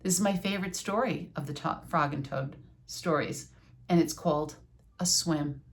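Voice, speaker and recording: An older woman reading a children's story aloud with asides, deliberate and teacherly like a schoolteacher. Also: low-pitched, a little hiss or hum.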